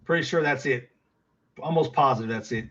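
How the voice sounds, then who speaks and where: A man's voice: medium pitch, brisk, fairly bright tone, lo-fi sound. A middle-aged man with a Texas accent, chatting casually with viewers on a livestream, rambling with lots of fillers and restarts.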